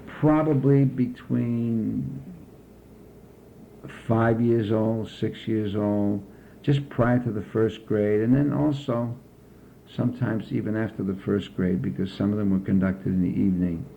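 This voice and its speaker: Older man, deliberate pauses, faint hiss behind, narrow phone-like sound. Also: lowish voice, steady tone, vocal fry, warm tone. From United States. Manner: slow, measured recollection with frequent pauses. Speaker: interviewee. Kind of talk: oral history interview.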